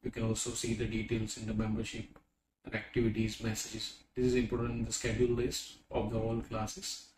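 Man casually walking through software, roomy. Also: fairly low pitch, monotone, fairly quick. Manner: conversational, frequent "you know" fillers. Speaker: software product presenter. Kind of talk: screen-recorded software walkthrough. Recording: roomy with background noise.

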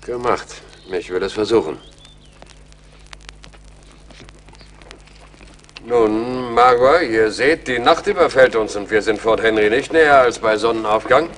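An actor playing a courteous, earnest English army major in a radio play, speaking in a formal manner. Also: male voice, on the low side, normal speed, few pauses, a bit bright, a little hiss or hum, lightly acted. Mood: resolute. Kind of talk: German radio play acting.

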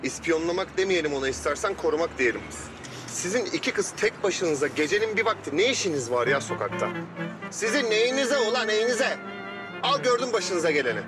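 A man's voice: high-pitched, expressive, fairly quick. A young male actor playing a bossy, protective man, scolding in exasperation in a TV drama. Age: young adult. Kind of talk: Turkish TV drama acting. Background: loud music, car.

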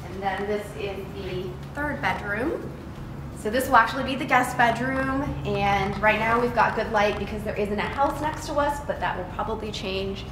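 A young American woman presents a house tour in a casual, enthusiastic way. Her voice sits at a middle pitch. She is a little way from the mic in an echoey, bare room with noticeable background noise. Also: normal speed, far from the mic. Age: young adult. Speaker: vlogger, homeowner giving a tour. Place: bare framed house interior, indoors.

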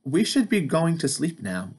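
A man doing gentle, patient Frog. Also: a little high, big pitch swings, moderate pace, slightly rough, pitched above the performer's own voice. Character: calm, kind male frog, patient friend. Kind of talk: storybook read-aloud.

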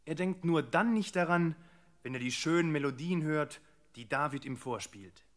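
Actor as higher-voiced male palace servant. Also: lively intonation, normal speed, lightly acted. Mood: confiding. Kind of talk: German radio play acting.

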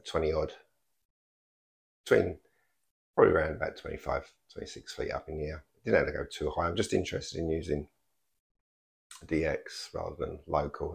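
Very deep, gravelly older man. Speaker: amateur radio hobbyist vlogger. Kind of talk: casual explanatory vlog talk.

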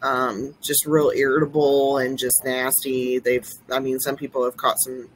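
A woman in her forties with a deep voice, co-hosting a podcast over a video call and rambling through her stories with many fillers. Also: little pitch movement, unhurried, breathy edge, low-quality recording. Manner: rambling, lots of fillers and restarts. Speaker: podcast co-host. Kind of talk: casual chat.

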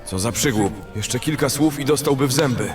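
A man's voice: medium pitch, expressive, a little fast, music in the background, lightly acted. A man playing a brash, greedy fantasy adventurer in an audio drama, talking in a coarse, boastful, grumbling way. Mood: indignant, boastful.

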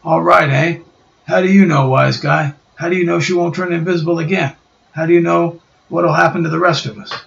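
Man putting on a pushy storybook tough guy, high and loud, surly and taunting; muffled roomy take. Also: steady tone, fairly quick, creaky. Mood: angry taunting. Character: Ben the Thing, gruff surly strong man. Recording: dull roomy home recording.

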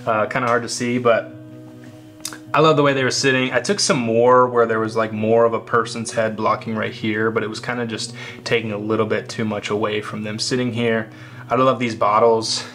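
A young man, a photographer, casually talking through his photos, relaxed and rambling with many fillers. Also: on the low side, normal speed. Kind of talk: casual screen walkthrough. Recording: faint guitar music underneath. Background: faint guitar music.

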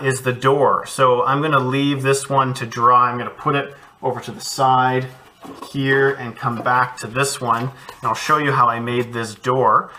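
A man's voice: mid-range voice, bright, forceful tone, a little hiss or hum. A man, an art educator, casually walking kids through a cardboard craft project from his home studio, encouraging and thinking aloud as he works.

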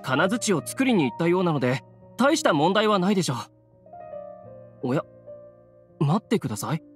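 Earnest young townsman, animated pitch. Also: normal speed. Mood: concerned. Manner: formal, polite, concerned. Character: earnest, courteous young townsman organising an event. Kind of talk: anime game voice acting. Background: game music.

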